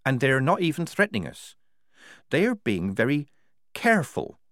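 A man voicing a level-headed, shrewd young woman soldier who talks calmly and reasons things out. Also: mid-range voice, moderate pace, no room sound, clean studio sound, voice pushed up. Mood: thoughtful. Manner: calm and reasoning.

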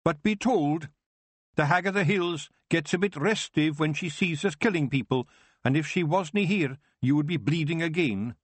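A blunt, gruff Scottish clan chief, small and fierce, as a man puts him on, pitched high and pushed loud. He talks in broad, hard dialect. The sound is close and dry. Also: moderate pace, no room sound. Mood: threatening.

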